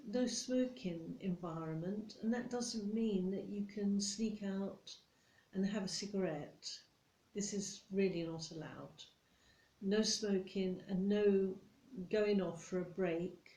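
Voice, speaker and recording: A British woman playing a stern, condescending, nitpicking office boss in a deliberate ASMR roleplay, speaking straight to the listener. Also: mid-range voice, warm tone, close to an everyday voice. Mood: stern, disapproving. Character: strict, nitpicking female office boss telling off a new employee. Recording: roomy echo.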